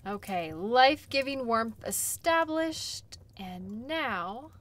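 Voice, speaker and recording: Woman acting a slow-paced, caring sled guide. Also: a little high, lively intonation. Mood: friendly, encouraging. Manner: gentle, reassuring, conversational with pauses. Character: caring, chatty female dog-sled guide tending wounds. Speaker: audio role-play performer. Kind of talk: intimate audio role play, one-sided conversation.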